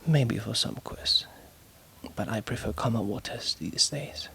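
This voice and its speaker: A guarded younger man in a seaside pub who hides his unease behind a brush-off, as a man whispers him. His hushed lines come slow and evasive, with a bright, crisp edge to the whisper. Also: fairly low pitch, full character voice. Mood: uneasy.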